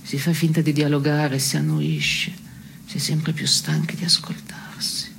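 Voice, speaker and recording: An actress playing a disillusioned, caustic woman in an absurdist radio play, speaking in a terse, deadpan, sardonic manner. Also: low-pitched, a little slow, creaky, whispered. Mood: weary, disillusioned. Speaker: stage actress. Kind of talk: theatrical dialogue in a radio play.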